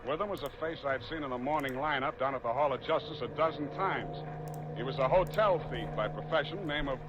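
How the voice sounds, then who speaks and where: An actor playing a wry, hardboiled private detective who narrates a 1940s radio drama in a deadpan way. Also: male voice, mid-range voice, fairly quick, noisy background, natural voice. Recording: muffled old radio recording with music.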